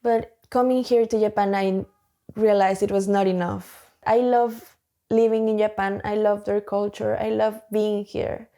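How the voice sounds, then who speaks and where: A woman's voice: medium pitch, little pitch movement, unhurried, warm tone. A woman speaking bluntly in an interview, repeating herself for emphasis. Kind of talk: candid interview.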